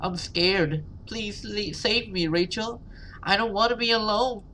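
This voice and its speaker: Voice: mid-range voice, unhurried, sharp tone, a little hiss or hum, pitched above the performer's own voice. A video game player voicing a frightened little girl who pleads eerily for help. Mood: pleading, scared.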